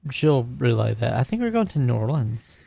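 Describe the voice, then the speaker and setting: Male player, fry-edged voice, dry sound, tentative improvised game chat. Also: mid-range voice, sing-song, moderate pace, warm tone, no room sound. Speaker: tabletop roleplay player. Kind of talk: casual in-character improvised game talk.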